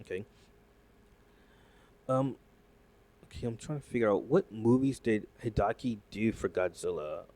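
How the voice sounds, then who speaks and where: Excitable rambling man, lowish voice. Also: measured pace, pauses often. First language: not Japanese. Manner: rambling, excitable, many fillers and restarts. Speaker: YouTube commentator. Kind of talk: casual reaction commentary reading news aloud.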